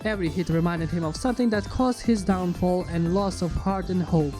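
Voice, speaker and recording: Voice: medium pitch, a little fast, warm tone. A narrator of ambiguous-sounding sex reading a scripted fan fiction story in a dramatic storytelling style. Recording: music behind the voice.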